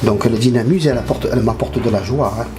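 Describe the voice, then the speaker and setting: A man's voice: medium pitch, racing. An older man from France, a painter talking casually in his studio, hesitant and often repeating his words. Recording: roomy studio with music over it. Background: guitar music.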